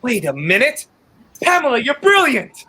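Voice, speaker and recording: A young man playing a determined, quick-thinking hero on the run in a 1930s-style radio play. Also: extremely high pitch, huge pitch swings, bright, forceful tone, a little hiss or hum, exaggerated voice. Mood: excited, urgent. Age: young adult.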